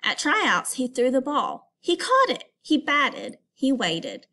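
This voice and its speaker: A woman with a fairly high voice speaks as a teacher to young children. She delivers a read-aloud lesson in an instructive, expressive storytelling manner, addressing her listeners as pupils. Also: slow.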